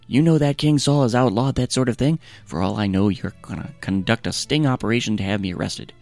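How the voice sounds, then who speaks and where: A man voicing a gruff, wary woman medium who talks bluntly. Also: medium pitch, a little fast, darkish tone, faint music behind, close to an everyday voice.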